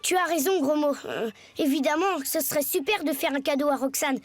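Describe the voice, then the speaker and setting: A woman's voice: sits high, fairly quick, no echo, lightly acted. A woman voicing a polite, good-natured young schoolboy in a French cartoon, earnest and a little hesitant. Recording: music behind the voice. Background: music.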